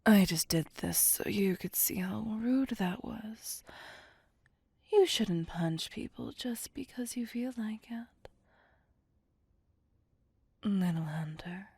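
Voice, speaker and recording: Woman playing a slow, breathy seductive vampire. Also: medium pitch, expressive, darkish tone, no room sound, heavily acted. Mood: amused, teasing. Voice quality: breathy, whispery. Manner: slow, teasing, flirtatious. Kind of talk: ASMR roleplay.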